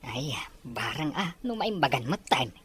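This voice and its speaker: A woman's voice: very deep voice, big pitch swings, unhurried, fairly bright tone, husky, exaggerated voice. A woman voicing a sceptical, plain-spoken older husband and father in an audio drama. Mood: wry, musing. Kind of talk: Ilocano audio drama acting.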